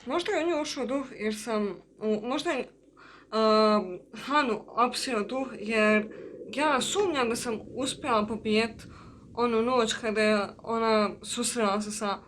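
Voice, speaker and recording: A woman's voice: medium pitch, fairly bright tone, slight background noise. A woman giving casual Let's Play commentary over game music. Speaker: gameplay host. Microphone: voice-over mixed with game audio.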